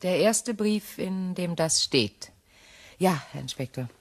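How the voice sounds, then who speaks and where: An actress playing an anxious but composed, recently widowed English shop owner in a German crime radio play, polite and measured. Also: female voice, fairly low pitch, expressive, heavily acted.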